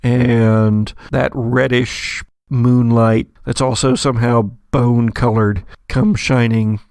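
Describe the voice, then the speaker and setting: A man's voice: medium pitch, flat delivery, slow, darkish tone, clear, resonant tone, no room sound, studio quality. A man narrating a tabletop horror game as game master, in a descriptive storytelling manner.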